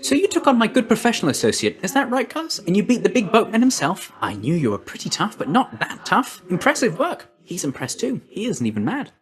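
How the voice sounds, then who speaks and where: An actor playing a quick, chipper, cheeky young messenger god in a video game, with breezy, chummy, informal patter. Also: male voice, high voice, huge pitch swings. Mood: amused, chummy. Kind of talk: video game character voice lines.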